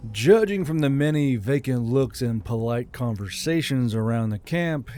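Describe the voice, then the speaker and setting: A man's voice: mid-range voice, warm tone. A man reading scripted fantasy fiction as an audiobook narrator. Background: faint music.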